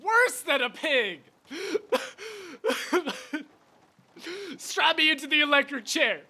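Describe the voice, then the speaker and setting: A man's voice: extremely high pitch, expressive, extremely slow, bright, forceful tone, heavily acted. A man playing a pompous, unhinged, self-important official in a radio play, declaiming in a grandiose, melodramatic way. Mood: melodramatic anguish. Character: pompous, unhinged, guilt-wracked chief dissector, theatrically self-important. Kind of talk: theatrical radio play acting.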